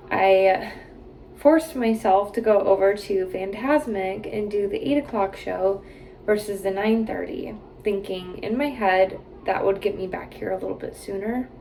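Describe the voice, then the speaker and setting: A woman's voice: mid-range voice, vocal fry, darkish tone, a little hiss or hum, poor audio. A woman in her late twenties chatting casually to camera from a hotel room, rambling with lots of "like" and "um". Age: 20s. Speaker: vlogger.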